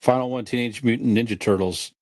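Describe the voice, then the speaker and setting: A man's voice: mid-range voice, fairly quick, a little mellow, dry recording. A middle-aged man co-hosting a podcast, talking casually and conversationally. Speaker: podcast co-host.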